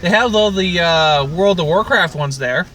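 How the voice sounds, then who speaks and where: A man's voice: high voice, normal speed, bright, forceful tone, slight background noise, rough recording. A man co-hosting a podcast in casual, teasing banter, needling his friend as he talks. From Canada. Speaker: podcast co-host.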